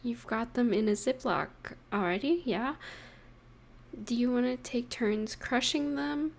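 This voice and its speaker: Creaky, high-voiced, unhurried affectionate girlfriend played by a woman. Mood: playful, relaxed. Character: affectionate, playful young girlfriend baking at home with partner. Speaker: voice actor in romantic audio roleplay. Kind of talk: intimate scripted roleplay addressed to the listener.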